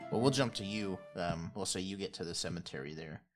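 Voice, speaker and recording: Man, low pitch, game master, casual improvised narration, easygoing rambling delivery. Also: a little fast, over loud music. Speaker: game master of a fantasy tabletop podcast.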